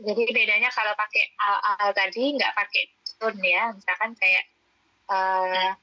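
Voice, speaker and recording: A woman's voice: medium pitch, fairly even intonation, unhurried, pushed and bright, no echo, lo-fi sound. A woman student, hesitantly checking her understanding in an online class.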